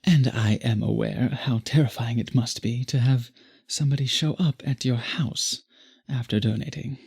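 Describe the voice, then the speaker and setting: A man voicing a courtly, possessive vampire in an intimate roleplay audio, with formal, florid, beseeching speech and a breathy voice. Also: mid-range voice, moderate pace, a little mellow. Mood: apologetic, formal. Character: courtly, obsessive, possessive male vampire pleading with the listener.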